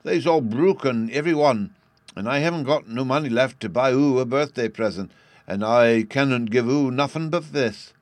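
A man's voice: medium pitch, moderate pace, dry recording, pitched above the performer's own voice, close to an everyday voice. A man voicing a small boy who talks earnestly in lisping baby talk. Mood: sorrowful. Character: small boy with childish grammar.

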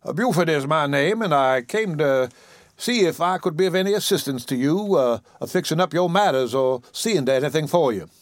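A man's voice: a little high, lively intonation, moderate pace, dry recording, clean studio sound, pitched above the performer's own voice, natural voice. A man voicing a greedy swindler who feigns kindness with an ingratiating, hesitant manner in a storybook reading.

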